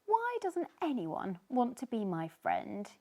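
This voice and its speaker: A woman's voice: on the high side, wildly swooping pitch, slow pace, mellow, pitched above the performer's own voice, full character voice. Woman voicing a timid, plaintive little boy fish. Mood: sad, plaintive. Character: lonely, timid, eager young male sneezing fish.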